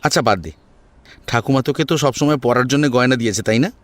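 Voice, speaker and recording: A man's voice: mid-range voice, fast, no room sound, natural voice. A man playing an eager, teasing, hot-headed young grandson in an audio story, insistent and emotional. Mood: playful, coaxing. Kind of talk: Bengali audio story acting.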